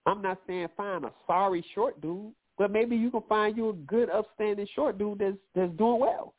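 A man's voice: high voice, moderate pace. A man hosting a call-in radio show, giving loose, profane relationship advice, a little nasal and wheezy from allergies. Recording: phone-like lo-fi line.

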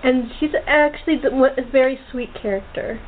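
A woman hosting a casual interview, chatty and halting as she rephrases her questions. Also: high-pitched. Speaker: host, playwright-director interviewing cast. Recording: tinny phone-like internet call, noisy.

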